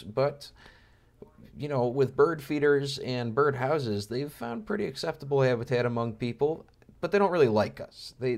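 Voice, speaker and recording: A man's voice: mid-range voice, creaky, a little mellow. A young man giving informal, joking, educational commentary over wildlife footage, with an enthusiastic manner. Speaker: amateur birder narrating wildlife footage.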